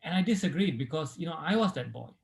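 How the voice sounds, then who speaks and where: A middle-aged man from Malaysia, a sports medicine doctor, recounting his life story as an interview guest in a relaxed way with many fillers and restarts. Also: on the high side, fast, creaky.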